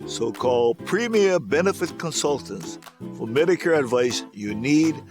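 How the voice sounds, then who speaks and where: A man's voice: medium pitch, animated, a little slow. Man, upbeat spokesman ad read, music bed. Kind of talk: radio-style ad read.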